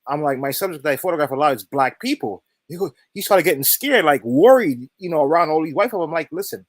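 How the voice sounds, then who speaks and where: An emphatic man with a slightly high voice tells a story with energy during a group chat. His voice is close and dry, with no room echo. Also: expressive, fast talker. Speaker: guest. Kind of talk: casual anecdote in a chat.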